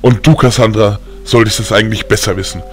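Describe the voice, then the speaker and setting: A strict old grandfather, played by a male narrator, speaks in a low voice. He is gruff and stern in an audiobook tale, lecturing with a disappointed undertone, with music behind him. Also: steady tone, fairly quick, close to an everyday voice.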